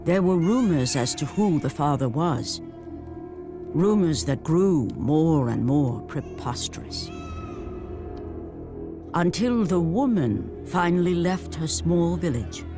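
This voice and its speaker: A grave teller of ancient myths, narrating a game cutscene very slowly and solemnly. The delivery is portentous, with weighty pauses, over clearly audible music. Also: male voice, on the high side, very animated. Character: grave storyteller of ancient myth. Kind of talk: dramatic scripted narration for a video game. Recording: music behind the voice.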